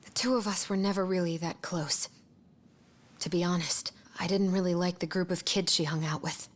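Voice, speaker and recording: An actress playing a reserved, evasive schoolteacher in an English-dubbed game drama, terse and guarded with a soft, breathy voice. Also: female voice, fairly low pitch, steady tone, normal speed, natural voice.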